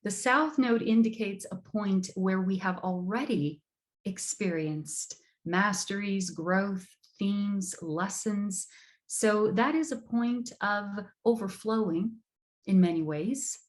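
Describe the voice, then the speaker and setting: Measured woman lecturing from Brazil. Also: medium pitch. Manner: measured, emphatic, repeats words for stress. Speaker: astrology lecturer. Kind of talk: online lecture.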